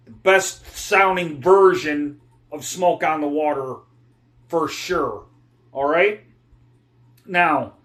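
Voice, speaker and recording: Middle-aged male guitar tutor, slow and meandering delivery, clears his throat between points. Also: fairly high pitch, a bit bright. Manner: rambling, digressive, clears throat often. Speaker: guitar teacher. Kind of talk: casual guitar lesson talk.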